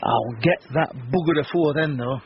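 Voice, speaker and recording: An actor playing a blunt, bawdy, envious small-town councillor with a Northern English accent in a British radio drama. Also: male voice, on the high side, normal speed, gravelly. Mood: indignant, envious. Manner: blunt, earthy, grumbling. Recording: dull, noisy lo-fi radio recording.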